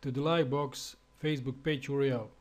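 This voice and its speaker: Man, Slovenian accent, non-native English, hesitant tutorial voice-over, medium pitch. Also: fairly even intonation. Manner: halting, with fillers and restarts. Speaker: web developer. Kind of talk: screencast tutorial.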